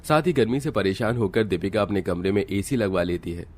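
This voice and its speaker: Man, narrator, deep, steady, scripted storytelling. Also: fairly quick, slight background noise. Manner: steady, explanatory.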